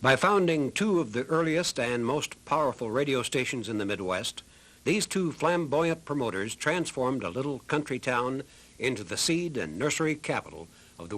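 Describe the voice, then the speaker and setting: A man reading scripted documentary narration in a measured storytelling way. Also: mid-range voice, fairly bright tone. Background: music.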